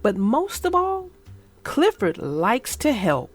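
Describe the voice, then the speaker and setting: Slow, expressive woman reading for children. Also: a little high, husky, faint music behind. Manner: expressive, deliberate delivery for children. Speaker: children's book narrator and host. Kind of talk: storybook read-aloud and casual chat.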